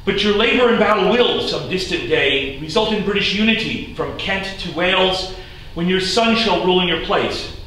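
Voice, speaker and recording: Man reading an aged fearless seer from a verse legend, solemn and forceful, in a very reverberant hall. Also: high-pitched, animated, moderate pace, pitched above the performer's own voice. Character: old male wizard prophet, solemn, fearless. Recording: roomy hall, distant mic, faint noise.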